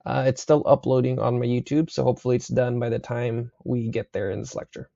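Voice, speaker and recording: A young man recording a university engineering lecture late at night, his voice raspy, talking casually with many fillers and checks like "right?". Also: mid-range voice, level tone, fairly quick, dark tone, no room sound. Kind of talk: recorded lecture over slides. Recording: slightly dull dry recording.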